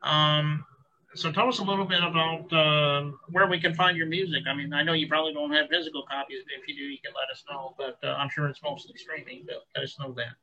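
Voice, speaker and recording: A man hosting an interview show who speaks in a friendly, steady way as he introduces and promotes his guest. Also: fairly high pitch, brisk. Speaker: show host. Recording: slightly dull lo-fi sound.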